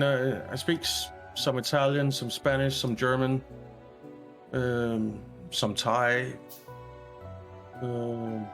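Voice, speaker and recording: Slow-talking Danish man, easygoing. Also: medium pitch. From Denmark. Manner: relaxed, unhurried, hesitant with many fillers. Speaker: co-op gameplay commentator. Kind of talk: casual gaming chat.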